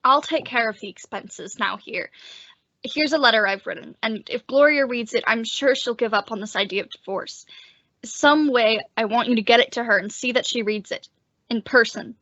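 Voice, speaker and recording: A woman's voice: a little high, moderate pace, a bit bright, no room sound. A woman playing a desperate, lovesick husband with urgent, earnest pleading, in old-time radio drama style. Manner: urgent, imploring delivery. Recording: dull lo-fi close dry recording.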